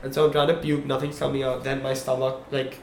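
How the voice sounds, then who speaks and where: A man's voice: medium pitch, fast talker, gravelly. Podcast co-host, young male, chatty storyteller, roomy echo. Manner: animated storyteller, checks in with "okay? right?". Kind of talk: casual storytelling chat. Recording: echoey room, faint background hum.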